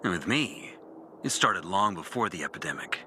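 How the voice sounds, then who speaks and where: A disillusioned ex-priest recording a game audio journal. His low voice is sharp and forceful, swinging widely as he broods through a bitter confession. He speaks deliberately, leaving dramatic pauses. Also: big pitch swings, slight background noise, heavily acted. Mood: troubled, grim. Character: disillusioned former priest, bitter and intense, recounting his lost faith. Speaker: character narrating an audio journal. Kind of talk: dramatic video game monologue.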